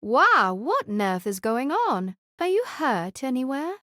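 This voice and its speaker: A woman's voice: high voice, big pitch swings, a little slow, a bit bright, no echo, full character voice. A dutiful female knight, acted by a woman for an audio drama. She is formal, protective and earnest, recorded close and dry with no room sound. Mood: concerned, questioning. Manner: formal, deferential.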